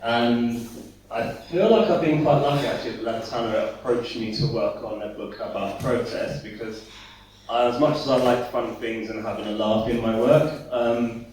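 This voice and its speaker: A man, an illustrator, talking through his work on a conference panel in a relaxed, hesitant way with many pauses. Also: fairly low pitch, slight background noise. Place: conference hall. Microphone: distant room mic. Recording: echoey hall, distant mic.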